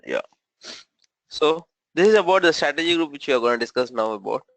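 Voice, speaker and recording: A man's voice: a little high, animated, brisk, a bit bright. Man teaching over a video call. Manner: explanatory, checks in with "right?". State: has a cold, voice low. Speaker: SAP trainer. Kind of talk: online training session.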